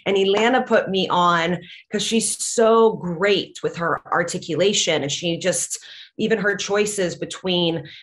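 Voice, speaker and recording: A woman's voice: lowish voice, measured pace. A woman who grew up in Latvia, speaking English as a second language in a casual interview, earnest and enthusiastic, with many fillers. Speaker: actress and professional wrestler, interview guest.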